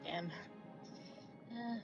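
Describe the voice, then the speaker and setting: A woman's voice: extremely slow, loud music behind the voice. A woman streaming a game with casual commentary, murmured reactions and chatty asides, on a slightly dull mic.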